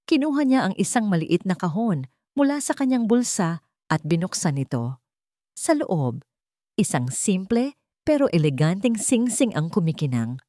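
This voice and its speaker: A woman's voice: medium pitch, sing-song, normal speed, dark tone, dry recording, studio quality. A woman with a Filipino accent reading a scripted romance story as a dramatic narrator.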